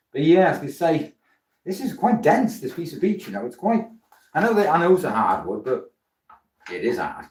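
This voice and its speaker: A man's voice: mid-range voice, roomy sound, far from the mic. A man with a South Welsh accent chatting casually while he works, greeting viewers by name with plenty of fillers and a friendly manner. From Wales. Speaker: woodturning livestream host. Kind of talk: casual live chat while working. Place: workshop with a running lathe.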